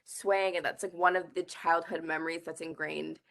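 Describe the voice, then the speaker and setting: A woman's voice: low voice, fairly even intonation, moderate pace, vocal fry, fairly bright tone. A young woman from the Bahamas, a climate activist guest answering interview questions earnestly, with frequent "like" and "I think". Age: young adult.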